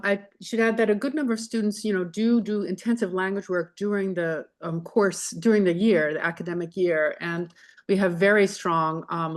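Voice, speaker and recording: Woman running a zoom q&a, lowish voice, calm and measured. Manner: measured, with fillers. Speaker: faculty host of info session. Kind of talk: moderating a Q&A. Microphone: video call.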